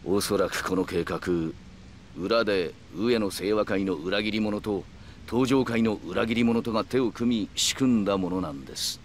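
An actor playing a calm, calculating middle-aged yakuza man who speaks in polite, measured, formal phrases in a video game. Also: on the low side, a little hiss or hum, natural voice. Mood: grave, explanatory. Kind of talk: Japanese video game cutscene acting.

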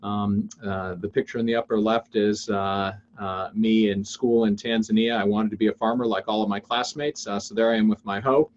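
An American man in his fifties with a fairly low voice hosts an online seminar. He talks informally and haltingly, with many filled pauses. The sound is a little dull, as from a webcam, with faint noise underneath. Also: steady tone. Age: 50s. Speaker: webinar host and investing teacher. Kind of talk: informal webinar presentation. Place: indoors on a video call.

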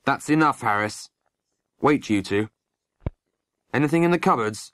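A narrator voicing a stern, angry middle-aged police sergeant and father, gruff and curt, in an audiobook. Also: medium pitch, lively intonation, unhurried, fairly bright tone. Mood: brisk, commanding.

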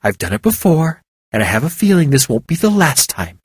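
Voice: medium pitch, big pitch swings, fairly quick, husky, no echo, studio quality, voice pushed up. A narrator voicing a haughty growling snow leopard. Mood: stern. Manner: growling, stern and scornful. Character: proud female legendary snow leopard, haughty.

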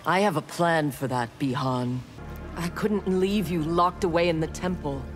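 A woman's voice: deep voice, normal speed, lightly acted. A woman playing a proud, cold female ninja warrior and engineer in a video game, speaking in curt, threatening lines. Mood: defiant confidence. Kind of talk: video game fight intro dialogue. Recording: music behind.

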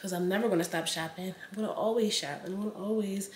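A woman's voice: medium pitch, quick pace, echoey room, slight background noise. A woman in her twenties chatting straight to her viewers in a casual vlog, blunt and talkative, filmed at home. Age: 20s. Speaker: vlogger. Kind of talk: casual vlog chat to camera. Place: indoors in a home room.

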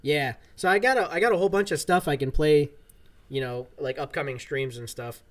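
A man's voice: on the high side, brisk. Laid-back man chatting on a game stream. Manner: laid-back, rambling, many fillers. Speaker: livestream gamer. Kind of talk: casual livestream chat while playing. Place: home gaming room.

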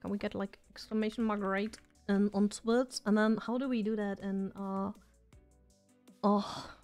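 A woman's voice: mid-range voice, level tone, measured pace, a little mellow, clean tone, faint music behind. A woman streamer chatting casually and rambling as she loses her voice. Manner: rambling, chatty, self-deprecating. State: losing her voice. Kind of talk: casual live-stream chat.

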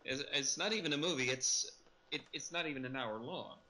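A man's voice: mid-range voice, fairly quick, sharp tone. A man casually discussing and recapping a film as a podcast panelist. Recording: noticeable background noise.

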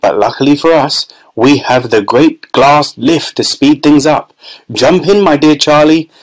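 A man's voice: a little high, poor audio. Man doing a giddy whimsical candy maker. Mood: delighted. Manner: giddy, exclamatory, fast bursts.

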